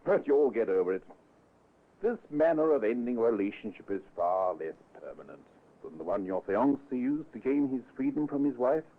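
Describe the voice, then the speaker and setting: A man playing a calm, firm police inspector with a British accent and a clipped, polite, authoritative manner in a vintage radio drama. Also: mid-range voice, normal speed. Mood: dry sympathy. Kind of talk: 1950s radio drama acting. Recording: thin, phone-like vintage radio recording.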